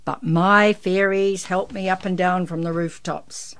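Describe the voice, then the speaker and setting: A woman's voice: fairly even intonation, unhurried, fairly bright tone, dry recording. A woman voicing a jolly, kindly old Santa Claus with a big, hearty voice in a children's story. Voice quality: big, booming.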